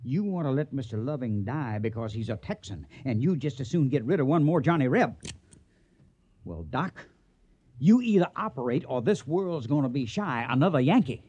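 An actor playing a tough, decisive, plain-spoken cattle-drive trail boss in a western radio drama. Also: male voice, medium pitch, animated, moderate pace, a little mellow, slightly rough, highs cut off. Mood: threatening.

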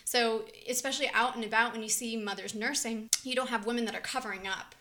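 A woman's voice: fairly high pitch, fast talker. A woman who grew up between the United States and Israel, speaking openly and easily as a podcast guest at home. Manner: open, explanatory, relaxed. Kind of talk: casual interview chat. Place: living room in her home.

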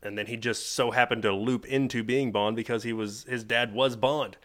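A man co-hosting a podcast in casual chat, excitable, laughing often and swearing now and then. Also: mid-range voice, normal speed. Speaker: podcast co-host.

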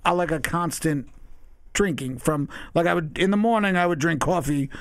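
Raspy, gravelly man in his forties. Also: on the high side, moderate pace, no room sound, clean studio sound. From Long Island, New York. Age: 40s. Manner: loose, profane, laid-back rambling. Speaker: podcast guest. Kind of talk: casual chat.

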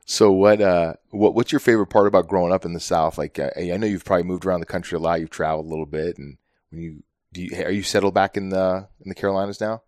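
A man hosting a podcast, asking questions in a relaxed, chummy way and laughing easily. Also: low-pitched, fast, no echo, studio quality. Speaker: podcast host. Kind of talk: casual interview chat.

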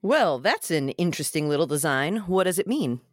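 A woman's voice: low-pitched, big pitch swings, fairly quick, dry recording, clean studio sound. A woman playing an awkward, wisecracking young man in a comedic table read, with a sarcastic, self-deprecating manner. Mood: upbeat, joking.

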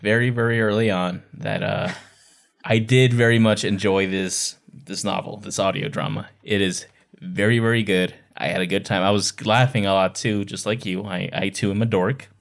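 A man's voice: lowish voice, steady tone, moderate pace, vocal fry. A man co-hosting a podcast in a casual book chat, playful and emphatic, repeating words for effect. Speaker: podcast co-host.

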